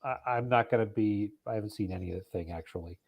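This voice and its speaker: A man joining a casual online podcast chat, joking and talking in quick bursts. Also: fairly low pitch, vocal fry, muffled recording. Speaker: podcast guest.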